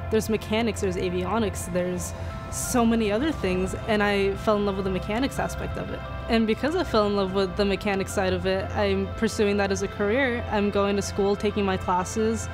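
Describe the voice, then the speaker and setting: A young adult woman giving a casual interview testimonial as a student. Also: mid-range voice. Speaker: student in a youth career program. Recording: music bed under the voice.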